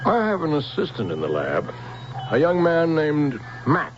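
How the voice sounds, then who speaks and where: An actor playing an old, cornered but stubborn robot scientist in a radio drama, wary, halting and defiant. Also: male voice, a little high, huge pitch swings, slight background noise. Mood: evasive, nervous. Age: older. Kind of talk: 1970s radio drama acting. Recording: muffled vintage radio recording with music.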